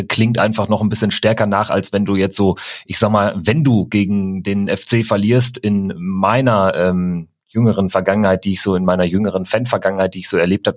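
A man's voice: lowish voice, dry recording, studio quality. A man in his late twenties, a podcast guest talking football in a hesitant, reflective way. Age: 20s. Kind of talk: casual football podcast chat.

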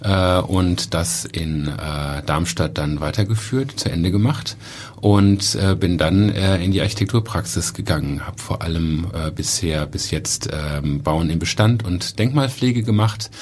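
A man talking calmly about his training and career as an architect, with frequent fillers. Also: low-pitched, measured pace. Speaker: architect and festival co-organizer. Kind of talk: casual podcast conversation.

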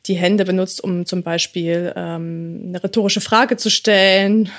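A woman's voice: lowish voice, fairly even intonation, unhurried. A woman co-hosting a podcast, chatting casually about gestures, laughing often and using many fillers. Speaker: podcast co-host.

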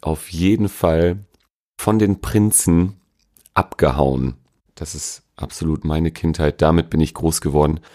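A man's voice: a bass voice, fairly even intonation, a little slow, mellow. A man answering interview questions in a casual, informal, laid-back way, as a musician talking about himself. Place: at home.

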